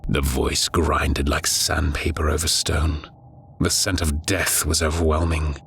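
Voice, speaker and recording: A man narrating a scripted horror audiobook in a grave, measured storytelling manner. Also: a bass voice, level tone, vocal fry, a bit bright, a little hiss or hum.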